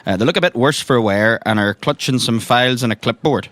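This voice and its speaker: A man's voice: mid-range voice, a little fast, fairly bright tone, no room sound. A man narrating an improvised tabletop roleplaying game as game master.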